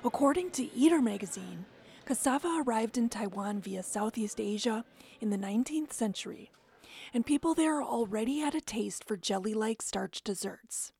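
A woman radio reporter reading a scripted feature narration in a measured, descriptive storytelling way. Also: fairly high pitch, lively intonation, a little mellow, husky.

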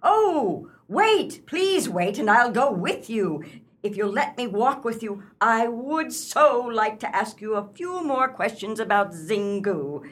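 An actress playing a haughty, probing lady author in a radio play, with deliberate, pointed speech. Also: on the high side, very animated. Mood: eager.

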